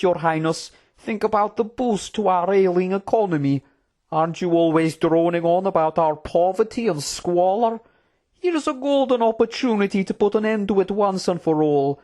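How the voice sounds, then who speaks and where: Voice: mid-range voice, normal speed, a little mellow, pitched above the performer's own voice, close to an everyday voice. A narrator voicing a scheming fantasy courtier in an audiobook, unctuous, formal and wordy, with cutting politeness. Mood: persuasive, impatient. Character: scheming, smooth-tongued Trook courtier, obsequious and cutting.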